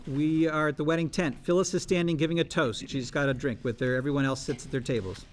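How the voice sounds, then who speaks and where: A man's voice: fairly high pitch, fairly even intonation, quick pace, a little hiss or hum. A man reading screenplay stage directions aloud at a table read.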